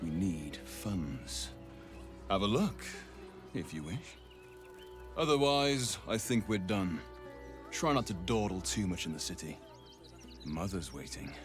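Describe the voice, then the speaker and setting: A man playing a cool, businesslike merchant of a cult in a video game, measured and dismissive. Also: low voice, animated, music in the background. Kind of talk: video game character dialogue.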